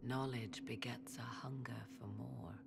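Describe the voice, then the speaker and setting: An actress playing a proud, secretive sorceress in a fantasy game, speaking formally and theatrically, with a haughty air. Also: female voice, extremely low pitch, steady tone, measured pace, full character voice. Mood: intent. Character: proud, secretive sorceress hungry for ancient knowledge. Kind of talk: video game fantasy voice acting. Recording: clear ambient noise behind the voice.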